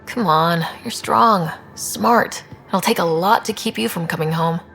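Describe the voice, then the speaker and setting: Young woman explorer, earnest n direct, bit low and gravelly, game dialogue. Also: unhurried, a bit bright, soft background music. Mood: sympathetic, reassuring. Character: earnest young female human explorer, idealistic team leader. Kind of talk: naturalistic video game acting.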